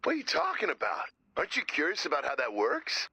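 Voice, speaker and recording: A man playing a laid-back but excitable tech sidekick in a video game, husky, casual and inquisitive. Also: on the high side, fast, no room sound, full character voice. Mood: excited, astonished. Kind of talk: scripted video game dialogue.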